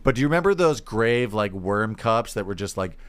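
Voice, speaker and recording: A man's voice: on the low side, animated, moderate pace, clear, resonant tone. A man co-hosting a podcast in casual conversation, informal and chatty, often tossing out questions. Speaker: podcast co-host. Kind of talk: casual chat about sweets.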